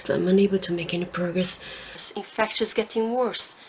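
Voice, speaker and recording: A woman playing a nervous, evasive therapist in a comic drama sketch, speaking in a hushed, halting way. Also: a little high, animated, natural voice. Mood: hushed, uneasy. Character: nervous, evasive female therapist hiding an affair. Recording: echoey, distant, noisy room recording.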